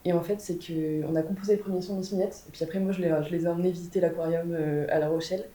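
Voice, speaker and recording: Fast-talking low-voiced young woman. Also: steady tone, dark tone. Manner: quick, chatty storytelling with many fillers. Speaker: guitarist in a band. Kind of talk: casual interview answers. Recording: echoey room with faint rumble.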